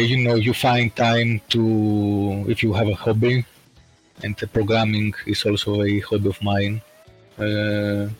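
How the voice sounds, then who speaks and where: A man from Greece chatting as a podcast guest, speaking slowly and hesitantly with lots of fillers. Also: fairly low pitch, rough recording. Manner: slow and hesitant, many fillers. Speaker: podcast guest, bot creator. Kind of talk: casual chat.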